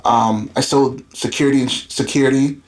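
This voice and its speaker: A man's voice: medium pitch, fairly even intonation, normal speed, poor audio. A man in his thirties who grew up in Florida, a guest telling his story in candid, rambling casual talk with many fillers. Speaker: guest entrepreneur. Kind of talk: casual interview chat.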